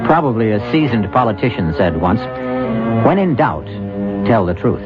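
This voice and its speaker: A man hosting and narrating a radio drama, measured, wry and theatrical in scripted delivery. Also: fairly low pitch, sing-song. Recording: muffled old radio recording with music.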